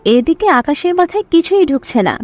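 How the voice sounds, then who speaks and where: A female storyteller reads scripted narration. Her voice is high and moves up and down in a very lively way. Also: moderate pace, faint music behind.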